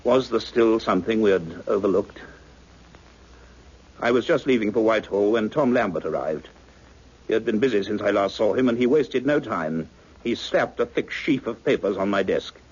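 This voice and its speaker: Thoughtful doctor of science, low husky voice, measured scholarly delivery, vintage radio play, dull recording. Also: male voice, lively intonation, a little mellow. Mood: uneasy, reflective. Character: thoughtful, grave male scientist, a learned doctor. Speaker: lead actor and first-person narrator. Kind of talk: old-time radio science fiction drama acting.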